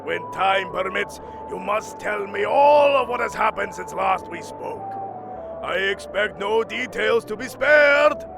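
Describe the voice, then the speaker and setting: A commanding ruler of the Norse gods acted by a man in an audio drama. He speaks slowly and imperiously in regal, formal phrases, with a creaky edge and lively pitch movement. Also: over music, full character voice. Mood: hearty.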